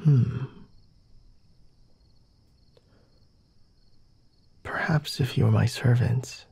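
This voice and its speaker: Breathy, whispering male vampire master, acted by a man. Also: mid-range voice, mellow, no echo, exaggerated voice. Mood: coy, guarded. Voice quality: breathy, husky, often whispering. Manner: formal, teasing, measured and deliberate. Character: flirty, refined male vampire master, coy and unhurried. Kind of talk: ASMR roleplay, addressing the listener.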